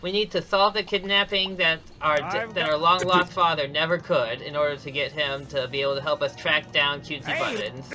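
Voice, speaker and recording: A man's voice: a little high, brisk, hardly any pauses, poor audio. A man commentating his own speedrun live. He explains each step casually, often restarting sentences, with light chuckles. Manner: explanatory, frequent restarts and self-corrections. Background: game music.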